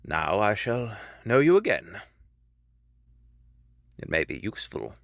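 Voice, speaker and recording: A man acting as a proper Victorian lawyer in a theatrical audio drama. His fairly low voice swings widely in pitch as he speaks with formal politeness and troubled, wary earnestness. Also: huge pitch swings, slow, gravelly, fairly bright tone, no room sound, clean studio sound, full character voice. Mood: polite, wary.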